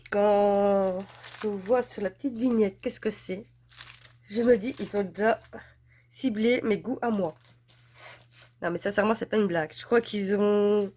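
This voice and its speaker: A woman talks through an unboxing in a loose, chatty way. She is stuffed up from a head cold. She gushes over what she shows and fills her pauses with fillers. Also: mid-range voice, a little mellow. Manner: chatty, gushing, filler-heavy. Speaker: crafts channel host. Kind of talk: casual unboxing chat.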